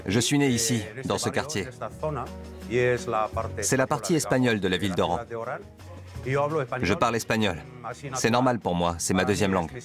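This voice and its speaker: A man with a lowish voice talks casually and warmly about his neighborhood. Loud music plays over his voice. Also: normal speed, long pauses. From Algeria. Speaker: local guide. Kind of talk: casual chat to camera.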